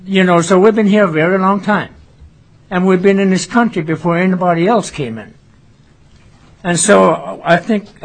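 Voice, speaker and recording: Earnest man with a higher voice. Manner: earnest, many fillers and pauses. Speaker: witness at a legislative hearing. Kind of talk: hearing testimony.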